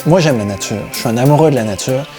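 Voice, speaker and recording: A man from the Paris region, telling about his own work and life in a relaxed interview account. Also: medium pitch, fast talker, over music. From Paris region, France, with Breton roots. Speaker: seaweed harvesting diver and business owner, interviewee.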